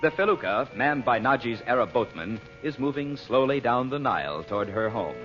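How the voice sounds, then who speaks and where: A male announcer giving portentous, measured, dramatic narration for an old-time radio serial. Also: medium pitch, expressive. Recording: dull old radio recording with music.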